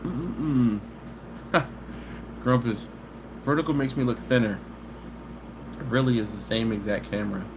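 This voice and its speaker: Chatty man on a noisy live stream. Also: medium pitch. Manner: easygoing and chatty, with drawn-out "mm-mm" hums. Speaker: barbecue channel host. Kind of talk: casual live stream chat, reading viewer comments. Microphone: phone camera. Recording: live stream audio with background hiss.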